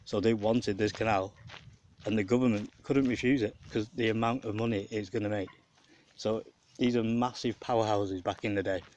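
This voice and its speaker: Low-voiced English man, noisy outdoor recording. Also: steady tone, normal speed, a little mellow, lo-fi sound. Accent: English, with a slight Mancunian lilt. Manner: conversational, digressive, musing. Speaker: vlogger and documentary narrator. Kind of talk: casual rambling walking commentary on local history. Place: outdoors along a rural canal path. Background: wind, birdsong, outdoor noise.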